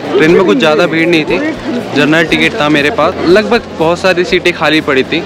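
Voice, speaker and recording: A man's voice: on the high side, steady tone, fairly quick, over a noisy crowd. A man vlogging casually from a train journey, chatting to viewers and mixing English words into his Hindi. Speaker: travel vlogger. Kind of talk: casual travel vlog narration. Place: on a train and at stops along the way. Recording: loud background music. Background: music.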